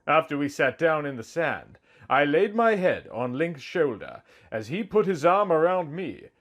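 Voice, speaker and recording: A man's voice: a little high, very animated, unhurried, fairly bright tone. A man reading a scripted first-person story as narrator, with music behind him.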